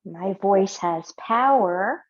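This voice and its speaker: A middle-aged woman leading a yin yoga class, giving slow, gentle cues and affirmations while lying on a mat in a small home studio. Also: medium pitch, lively intonation, dull audio. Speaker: yoga teacher. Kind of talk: guided yoga class instruction.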